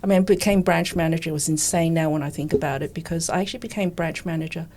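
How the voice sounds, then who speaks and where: A woman's voice: low voice, steady tone, fast, darkish tone. A woman in her fifties, a recruitment coach chatting candidly as a podcast guest and acting out the remarks she quotes. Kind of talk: conversational storytelling.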